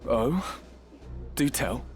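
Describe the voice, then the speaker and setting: An actor playing an earnest young noble cadet knight in a fantasy game, with formal, archaic diction. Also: male voice, medium pitch, animated, very slow, over music. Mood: curious.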